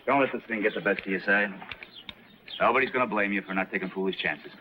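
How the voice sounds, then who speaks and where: An actor playing a steady, reassuring Western deputy with an American accent and a plain-spoken manner. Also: male voice, fairly low pitch, fast, highs cut off, background noise, lightly acted.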